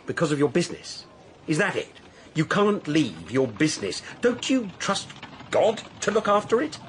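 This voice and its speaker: Very animated, anxious brother. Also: male voice, fairly high pitch, slight background noise. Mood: pleading. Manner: insistent, rapid questioning. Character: anxious, touchy, pleading brother and family man. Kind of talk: radio drama dialogue.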